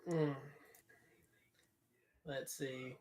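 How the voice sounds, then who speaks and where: A man's voice: mid-range voice, fairly even intonation, extremely slow, darkish tone. A man chatting casually as a player in a tabletop role-playing game with friends. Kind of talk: casual chat among friends.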